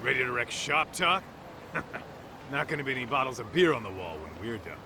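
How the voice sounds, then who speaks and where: A man's voice: medium pitch, expressive, normal speed, background noise, lightly acted. A man playing a cocky, brash tough guy in a video game cutscene. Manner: brash, swaggering. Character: cocky brawling tough guy, gruffly scornful. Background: music.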